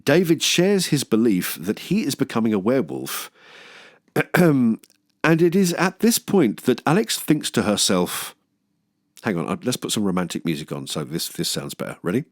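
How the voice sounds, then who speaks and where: A man's voice: mid-range voice, sing-song, moderate pace, no room sound, clean studio sound. A man hosting a film review, delivering scripted commentary with wry, enthusiastic jokey asides. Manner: enthusiastic, wry, breaks into chuckles.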